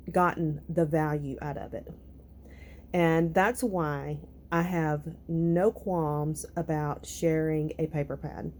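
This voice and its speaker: A woman with a raspy, nasal, hoarse voice, talking through her crafting clean-out in an unhurried, thinking-aloud vlog. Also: deep voice, a little mellow, slight background noise. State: recovering from illness, hoarse. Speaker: crafting vlogger. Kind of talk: casual vlog talk and voiceover.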